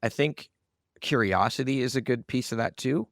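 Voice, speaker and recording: A man's voice: mid-range voice, normal speed, gravelly, no room sound, studio quality. A man hosting a conversational interview podcast, asking questions and sharing his own views. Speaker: podcast host.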